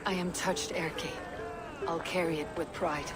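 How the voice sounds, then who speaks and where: An actress playing a stoic, battle-hardened Viking warrior in a video game, speaking in a terse, firm, measured way. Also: female voice, lowish voice, fairly even intonation, loud music behind the voice, natural voice. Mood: wry, sincere. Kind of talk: dramatic video game cutscene acting. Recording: noisy ambience behind.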